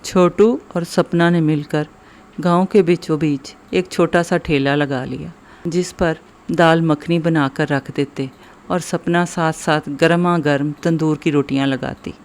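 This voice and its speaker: A man's voice: on the high side, steady tone, a little slow. A man narrating a scripted moral story for children.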